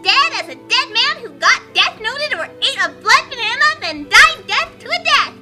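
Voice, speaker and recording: Very bright, high-pitched theatrical woman. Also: sing-song, moderate pace. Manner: theatrical, mock-dramatic. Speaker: co-host of a group fan-fiction reading. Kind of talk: casual banter and read-aloud commentary. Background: background music.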